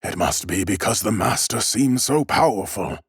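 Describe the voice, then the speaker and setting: A man's voice: on the low side, lively intonation, moderate pace, vocal fry, slightly rough, no echo, clean studio sound, exaggerated voice. A man voicing a timid, humble bookseller in an audiobook, speaking with hesitant, very polite deference. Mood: apologetic, careful. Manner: hesitant, bowing politeness, stammering. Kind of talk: audiobook character acting.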